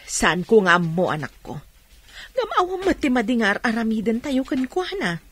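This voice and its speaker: A woman acting a kind, patient mother who gently counsels, in Ilocano radio drama style with a Filipino accent. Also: fairly high pitch, very animated, normal speed, breathy edge. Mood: gentle, concerned.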